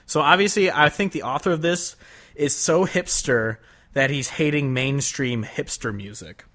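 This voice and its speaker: A man's voice: a little high, normal speed, fairly bright tone. A man co-hosting a casual podcast, reading from his notes and laughing often. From Northern US. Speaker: podcast co-host. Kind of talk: casual chat.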